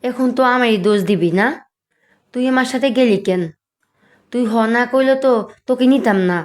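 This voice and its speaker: A woman's voice: a little high, normal speed, lightly acted. A woman voicing a bossy, scheming, argumentative young village girl in a cartoon. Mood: defensive. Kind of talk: cartoon voice acting.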